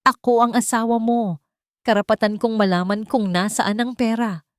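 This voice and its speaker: A woman's voice: fairly high pitch, fairly even intonation, moderate pace, dry recording, studio quality, pitched above the performer's own voice, natural voice. A woman voicing an angry, greedy, drunken husband in a dramatic story, demanding and desperate. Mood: menacing, controlling.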